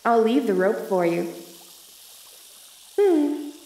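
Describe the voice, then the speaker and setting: A woman's voice: fairly high pitch, very animated, drawn-out words, dark tone, exaggerated voice. Woman acting a theatrical femme fatale, roomy echo. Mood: sultry, teasing. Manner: theatrical, pleading or teasing. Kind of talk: audio roleplay acting addressed to the listener. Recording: roomy echo, distant from mic.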